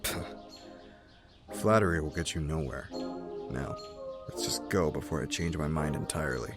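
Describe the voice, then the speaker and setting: A man voicing a distrustful, cold male field mouse who speaks in a flat, emotionless, suspicious way, over background music in a fan comic dub. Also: rumbling low, moderate pace.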